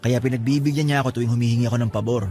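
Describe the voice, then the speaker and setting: A man's voice: mid-range voice, fairly even intonation, very fast, dark tone, faint music behind, close to an everyday voice. A man playing a nervous, evasive two-timing boyfriend in a radio drama, prone to stammering when flustered. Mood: casual, evasive. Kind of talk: Tagalog radio drama acting.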